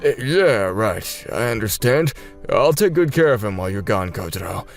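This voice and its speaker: A man's voice: on the low side, lively intonation, normal speed, vocal fry. A man playing an easygoing adult relative with a casual, laid-back manner in a comic dub. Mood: subdued. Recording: music bed behind the voice.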